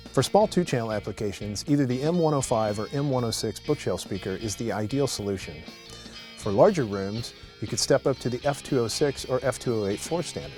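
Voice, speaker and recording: A man's voice: mid-range voice, darkish tone. A man reading a polished, scripted product narration as a promotional voice-over. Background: guitar music.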